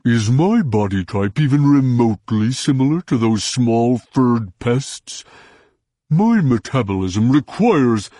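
A man's voice: big pitch swings, dark tone, no echo, clean studio sound. A man voicing a young, huge, slug-like Hutt who is pompous and blustering, speaking in a grandiose, indignant way. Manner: grandiose, indignant, slow rumbling delivery. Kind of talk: audiobook character voice.

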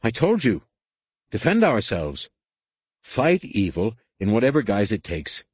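A man's voice: medium pitch, animated, moderate pace, darkish tone, dry recording, voice pushed up, natural voice. A man reading aloud, voicing an angry, defiant middle-aged male warrior-monk who argues in a heated, rising way. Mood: impassioned.

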